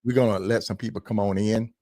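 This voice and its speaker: A man's voice: on the low side, fairly even intonation, fast talker, mellow, dry recording, studio quality. A man co-hosting a live podcast, upbeat and chatty, greeting viewers over and over. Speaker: podcast co-host.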